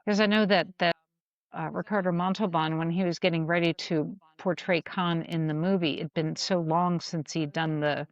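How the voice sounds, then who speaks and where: A woman talks as a podcast co-host in a casual, reflective style. Her voice has plenty of creak and she feels her way haltingly through her thoughts. The sound is dry and slightly lo-fi. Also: lowish voice, fairly even intonation, no room sound. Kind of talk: casual discussion of an audio drama.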